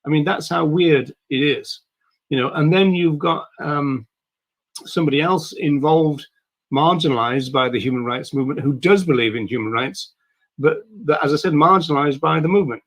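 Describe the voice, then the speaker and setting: A man hosting a livestream who talks in a rambling way, full of fillers and restarts, with a croaky voice today. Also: a little high, moderate pace, fairly bright tone. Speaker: livestream host. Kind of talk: casual livestream talk.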